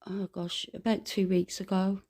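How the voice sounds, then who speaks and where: A British woman with a mid-pitched voice talks on a phone call as a complainant. She explains her grievance conversationally, sounding earnest and insistent, with some hesitancy as she finds her words. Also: flat delivery, moderate pace, a little mellow.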